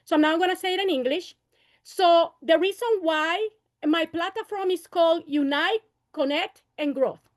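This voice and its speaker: A woman's voice: very high voice, moderate pace. A woman in her fifties running for city council, giving an impassioned campaign speech at a meeting, moving between Spanish and English and repeating her calls for unity. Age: 50s. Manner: impassioned, rallying, repeats phrases.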